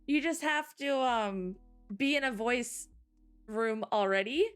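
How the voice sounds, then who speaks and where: A woman hosting a live stream in casual chat, bubbly and quick to laugh, still waking up. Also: fairly high pitch, fairly bright tone, clean tone, faint music behind. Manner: giggly, bubbly, laughs often. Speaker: streamer host.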